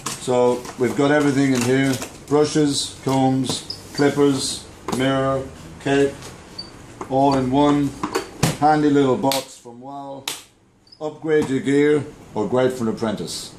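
Upbeat Australian barber in a roomy shop. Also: male voice, medium pitch, fairly even intonation, takes it slowly, background noise. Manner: enthusiastic, informal salesman patter. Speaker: barber reviewing products. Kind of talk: casual product demo. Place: small barbershop, indoors. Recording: roomy shop with faint ambience.

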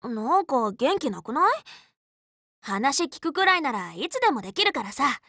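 Anime game role: teenage magical girl, loyal tomboy leader, bright forceful tone, very lively intonation, dry close studio sound, frank and casual. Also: high-pitched, normal speed, no room sound, full character voice. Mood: concerned. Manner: casual, boyish, spirited, frank speech. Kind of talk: anime game character voice lines.

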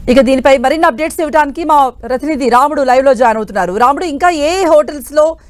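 A woman's voice: sits high, fast talker, loud music behind the voice. A woman presenting scripted breaking news as a studio anchor.

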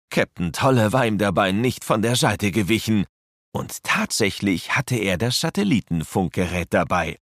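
A man narrating a children's adventure audio drama as a measured storyteller. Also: mid-range voice, no room sound, clean studio sound. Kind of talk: scripted narration.

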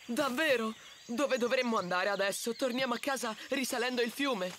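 A woman's voice: a little high, normal speed, a bit bright, slight background noise, close to an everyday voice. A woman voicing a timid, grumbling young male tiger cub sidekick in a children's cartoon, hesitant and whiny. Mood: worried, grumbling. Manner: hesitant, whiny, wide-eyed. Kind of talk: animated children's cartoon acting. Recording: music under the voice.

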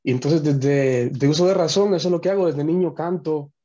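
A man's voice: fairly high pitch, brisk, dark tone, highs cut off. A young man from the Dominican Republic, a worship musician, talking earnestly over a video call and often restarting his sentences. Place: small home room.

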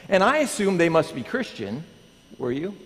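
Animated man preaching in reverberant sanctuary. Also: a little high, moderate pace. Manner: animated, pacing the stage, asking the congregation. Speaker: pastor. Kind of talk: lively sermon with prayer. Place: large church sanctuary. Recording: long church hall reverb.

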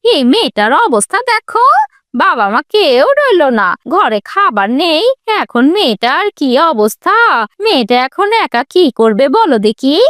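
A woman's voice: high voice, big pitch swings, unhurried, a bit bright, no room sound, studio quality, exaggerated voice. A woman voicing a pitying village neighbour with a fretful, lamenting manner in a moral cartoon. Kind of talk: Islamic moral cartoon acting.

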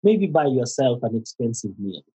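Husky-voiced Kenyan man, halting speech. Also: mid-range voice, very animated, dark tone, poor audio. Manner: halting, many fillers and restarts. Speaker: guest therapist. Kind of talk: conversational interview.

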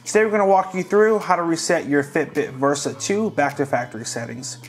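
A man hosting a tech tutorial, calmly narrating each step of a device reset in a casual, friendly way. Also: a little high, a little fast. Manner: friendly, relaxed, walks viewers through each step.

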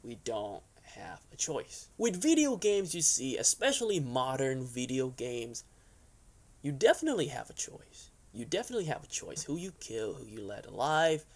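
A young man with noticeable vocal fry at an ordinary male pitch, hosting a casual vlog. He talks conversationally and loosely, wandering through his opinions and often checking in with the listener. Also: very animated, normal speed. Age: young adult. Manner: conversational, rambling, frequent "right?" and "you know" tags. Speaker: YouTube host. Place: furnished basement room, indoors.

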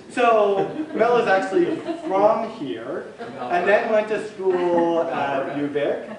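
A man's voice: high voice, expressive, slow pace, over a noisy crowd. A man hosting an event, introducing the speaker in a playful, joking way, recorded from a camera across a dim meeting room. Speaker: event organizer and host. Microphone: far camera. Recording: distant, very echoey room recording.

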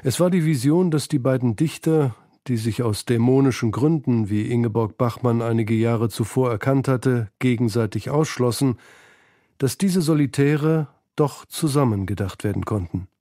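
Male narrator for literary feature. Mellow, mid-pitched, recorded dry. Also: normal speed, dry recording. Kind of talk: scripted literary documentary narration.

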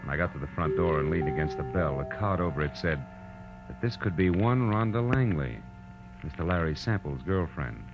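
A man's voice: low-pitched, animated, brisk, music in the background. An actor playing a wry, world-weary private detective who narrates his own case in 1940s radio drama style. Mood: wry narration. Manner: wry, sardonic. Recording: dull old-time radio recording.

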